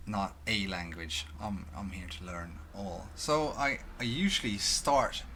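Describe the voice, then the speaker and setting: A young Swedish man talking to his webcam in English, halting with many restarts and fillers. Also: lowish voice, bright, forceful tone, slight background noise. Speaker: vlogger. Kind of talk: casual talk to camera. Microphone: headphones, desk mic at webcam.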